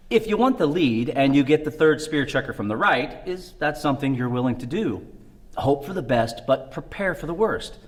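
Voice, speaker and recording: A Midwestern American man coaching would-be actors through audition advice. His pitch is mid-range but moves a lot, rising and falling through each step of a scripted list. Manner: reads advice in short list-like steps. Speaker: co-host giving audition tips. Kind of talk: scripted instructional talk.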